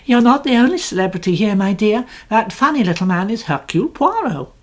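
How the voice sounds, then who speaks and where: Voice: mid-range voice, animated, fairly quick, voice pushed up, natural voice. A narrator voicing a tactful, sociable woman who speaks lightly. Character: light-spoken sociable older lady.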